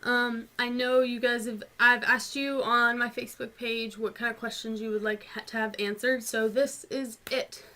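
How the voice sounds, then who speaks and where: Twenty-something southern gal, north georgia mountain twang, chatty and rambly with lots of ums, kinda high voice. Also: female voice, little pitch movement, moderate pace, few pauses, sharp tone. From North Georgia, USA. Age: 20s. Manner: chatty, rambling, frequent ums and little laughs. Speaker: vlogger answering viewer questions. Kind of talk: casual chat.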